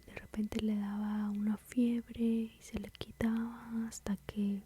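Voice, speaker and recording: A woman with a Mexican accent whispering softly as she tells a personal story about motherhood, in a confiding, rambling way. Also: mid-range voice, little pitch movement, unhurried, slightly rough. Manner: soft, confiding, rambling with fillers.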